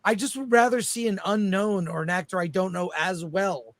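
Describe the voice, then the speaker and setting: A man with a high voice talks casually as a talk show panelist. The sound is very dry, with hardly any room to it. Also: steady tone, normal speed, no echo. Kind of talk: casual film discussion.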